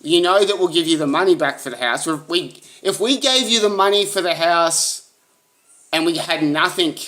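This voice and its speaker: A man's voice: a little high, moderate pace, pushed and bright, low-quality recording. A man pressing his point in a heated argument, frustrated and repeating himself. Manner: insistent, frustrated, repetitive.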